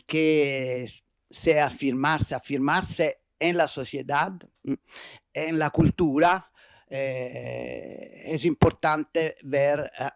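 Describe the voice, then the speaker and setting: Italian man, keynote speaker, halting non-native delivery, slow and dry. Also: medium pitch, smooth, no echo. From Italy. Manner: hesitant, many fillers and restarts. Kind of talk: conference lecture. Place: university lecture hall.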